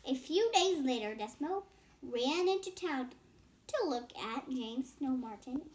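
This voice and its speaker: A woman's voice: sits high, lively intonation, a little slow, darkish tone, a little hiss or hum. A young girl reading a bedtime story aloud with casual explanatory asides, stumbling over words and rereading phrases. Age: child.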